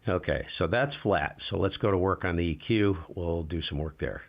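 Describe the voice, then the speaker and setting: A man with a very low voice running a casual live ham radio demo, talking to himself as he adjusts settings. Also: normal speed, vocal fry, muffled recording. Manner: relaxed, talks to himself while adjusting gear. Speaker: ham radio livestream host.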